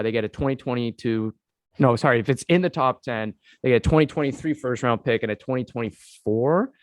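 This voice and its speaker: A man's voice: lowish voice, smooth, no echo. Congested man hosting a sports podcast. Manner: rambling, many "uh" fillers, keeps addressing co-host. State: congested from a cold. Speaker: podcast co-host. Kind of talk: casual sports podcast talk.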